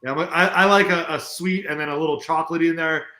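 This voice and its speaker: Man, co-host, bright, somewhat high voice, upbeat questions, echoey room. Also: steady tone, fast. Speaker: podcast co-host. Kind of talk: casual chat.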